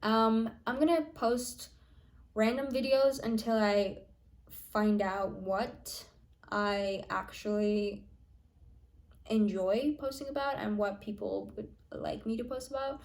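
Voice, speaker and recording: A woman's voice: fairly high pitch, slow, darkish tone. A nineteen-year-old woman vlogging in a casual, chatty way, full of fillers and restarts. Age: young adult. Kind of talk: casual planning vlog.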